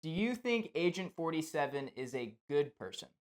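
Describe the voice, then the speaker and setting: A man's voice: fairly high pitch, very animated, normal speed. A man hosting a podcast, asking questions and chatting casually with his guest. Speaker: podcast host, interviewer. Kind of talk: casual interview chat.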